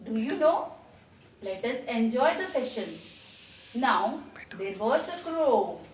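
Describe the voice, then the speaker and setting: Very slow, echoey woman storyteller. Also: a little high. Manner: deliberate, expressive, repeats phrases. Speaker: puppet show storyteller. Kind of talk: storytelling for children. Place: indoor room with small puppet stage. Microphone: camera mic at a distance. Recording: roomy echo, distant mic, faint noise.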